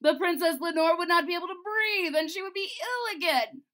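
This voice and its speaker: A woman voicing a hot-tempered, worried storybook king in a loud, commanding, exasperated way. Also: very high-pitched, fairly quick, pitched above the performer's own voice. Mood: furious.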